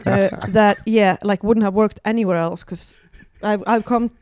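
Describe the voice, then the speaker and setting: A woman's voice: medium pitch, fairly even intonation, moderate pace, a little mellow, no echo, studio quality. Icelandic woman telling wry stories. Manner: wry, self-deprecating storytelling with many fillers. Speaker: guest comedian. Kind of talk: casual podcast chat.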